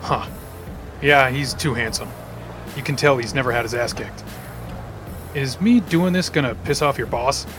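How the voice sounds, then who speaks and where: Man voicing a sardonic supervillain, dry wit, middle pitch, music under the voice. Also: normal speed, pauses often, close to an everyday voice. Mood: wry. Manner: dry, wisecracking. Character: cool sardonic male supervillain. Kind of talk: audio drama acting.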